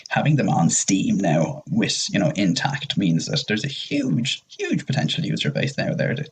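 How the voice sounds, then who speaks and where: A man's voice: sits low, wildly swooping pitch, normal speed, vocal fry. A man chatting casually as a podcast panelist, often dropping "like" into his sentences, with noise behind his voice. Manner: peppers speech with "like". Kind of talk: casual chat.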